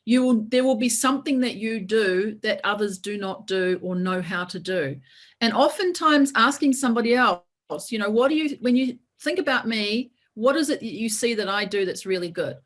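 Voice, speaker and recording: A woman's voice: a little high, expressive, normal speed. Chatty encouraging New woman. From New Zealand. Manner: encouraging, talkative, leans on "right?". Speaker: lawyer and coach. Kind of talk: casual webinar talk.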